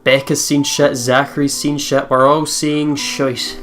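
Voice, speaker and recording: A woman's voice: deep voice, flat delivery, measured pace. A woman hosting a let's play, giving casual, joking commentary in short bantering reactions. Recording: game music clearly behind the voice.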